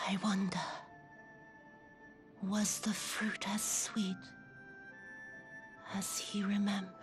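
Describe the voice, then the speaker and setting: An actress playing a bitter, brooding woman in a video game, musing in a breathy near whisper. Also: medium pitch, level tone, drawn-out words, long pauses, music in the background. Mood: bitter, wistful. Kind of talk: dramatic video game cutscene acting.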